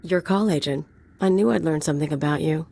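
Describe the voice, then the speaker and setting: Mercenary sidekick, deep for a woman, wry, streetwise, casual, video game dialogue. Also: a little fast, vocal fry, dark tone.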